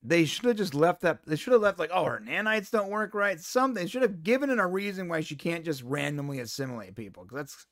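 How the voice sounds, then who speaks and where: Man, casual podcast reviewer, lively and opinionated, slightly high voice, dry close mic. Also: fast, hardly any pauses, dry recording. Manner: animated, opinionated, exasperated asides. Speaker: podcast co-host.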